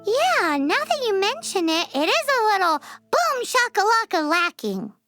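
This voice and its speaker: Tiny childlike fairy sidekick, video game acting, piercingly high, excitable, fretful, faint music behind. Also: female voice, a little slow, dry recording, exaggerated voice. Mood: curious, squeamish. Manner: childlike, reactive, exasperated.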